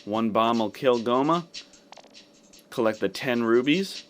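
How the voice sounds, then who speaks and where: A man's voice: medium pitch, unhurried, a little hiss or hum. A man giving casual step-by-step commentary on a video game playthrough. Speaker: gaming video host.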